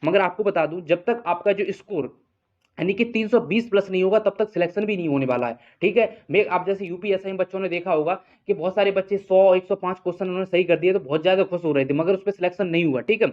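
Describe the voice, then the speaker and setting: Man, high voice, coaching teacher, informal explaining, repetitive, muffled lo-fi sound. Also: quick pace. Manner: repetitive, explanatory, frequent check-in tags. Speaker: exam coaching teacher and channel host. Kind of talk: informal exam update addressed to students. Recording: dull, lo-fi voice recording.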